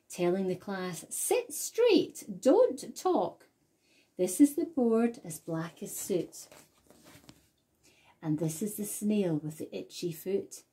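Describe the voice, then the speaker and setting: A woman reading a children's picture book aloud in an expressive, rhythmic bedtime-story style. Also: mid-range voice, breathy edge. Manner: deliberate, rhythmic, animated read-aloud delivery.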